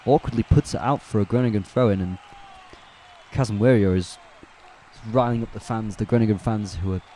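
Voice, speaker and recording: A man with a lowish, mellow voice gives casual live play-by-play of a football match for a fan stream. He talks in a relaxed, chatty way, correcting names and answering viewers' questions as he goes. A faint hiss of noise sits behind him. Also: fairly quick. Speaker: match commentator on a fan watch-along stream.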